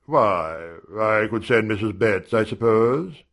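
A man playing an awkward, piqued gentleman of the house, vague and hesitant, in an audiobook. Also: fairly low pitch, expressive, slow pace, full character voice. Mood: vague, neutral. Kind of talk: audiobook character dialogue.

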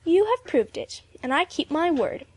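A woman's voice: sits high, sing-song, normal speed, no echo, pitched above the performer's own voice. Woman voicing a pompous, self-righteous aunt. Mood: grudging, pompous. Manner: pompous, formal.

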